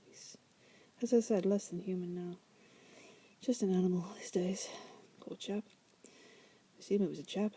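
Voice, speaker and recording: A woman's voice: medium pitch, husky. A woman playing a wry, seductive demon prisoner in an ASMR roleplay, often whispering, with a teasing, musing manner. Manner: teasing, musing, drawling asides with soft chuckles. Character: wry, seductive female demon prisoner, patient deal-maker.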